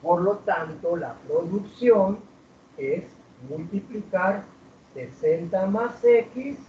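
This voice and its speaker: A man's voice: sits high, slow, a little hiss or hum. Distant male teacher in an echoing classroom. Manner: asks students questions, drags out key words. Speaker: math teacher. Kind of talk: classroom lecture. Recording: roomy, distant, dull classroom recording.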